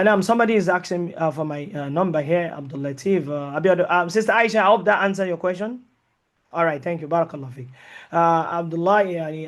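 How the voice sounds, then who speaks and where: Halting man teaching, high-pitched. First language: not English. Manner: hesitant, many uh and um fillers; mixes in Arabic and Islamic terms. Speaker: Sharia teacher. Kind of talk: online lecture with live Q&A.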